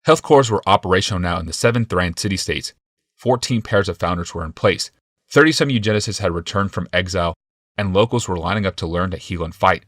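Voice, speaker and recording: Low-voiced man narrating fantasy audiobook. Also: fairly quick, no echo, clean studio sound. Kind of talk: dramatic scripted narration of fantasy fiction.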